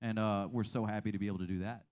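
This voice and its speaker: A man from the United States answering a host's questions on a small venue stage, talking in a relaxed, good-humored way through a handheld mic. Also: lowish voice, racing, clear, resonant tone. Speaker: band leader.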